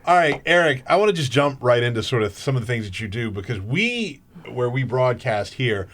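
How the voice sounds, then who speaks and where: A man hosting a talk radio show in a casual, energetic way, joking and laughing often as he interviews a guest. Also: mid-range voice, brisk. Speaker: fill-in radio show host.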